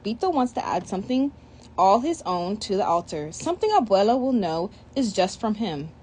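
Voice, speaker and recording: A woman's voice: mid-range voice, moderate pace, slight background noise. A young woman reading a children's picture book aloud, breaking off for chatty asides. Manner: reads with lively asides and commentary. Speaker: storybook reader.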